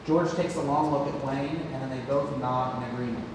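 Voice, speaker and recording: A man's voice: mid-range voice, steady tone, a little fast, a little mellow. A man reading scripted stage directions as narration at a play read-through. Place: community theatre, large indoor room. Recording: noisy echoey hall, far from mic.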